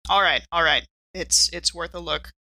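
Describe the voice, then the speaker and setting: A woman playing a tabletop roleplaying game, chatting casually and speaking for her character in a playful, animated way. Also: on the low side, normal speed, vocal fry, dry recording.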